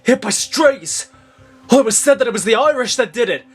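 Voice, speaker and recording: A man's voice: very high-pitched, expressive, fairly quick, husky, faint music behind, heavily acted. An actor playing a deranged, grief-stricken, vengeful gunman with a frantic, impassioned delivery in an audio drama. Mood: enraged, grieving.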